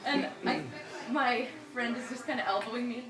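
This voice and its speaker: A woman's voice: fairly high pitch, moderate pace, echoey room, far from the mic. A young woman from Michigan telling a personal story in a chatty, giggly way, with many fillers and bursts of laughter.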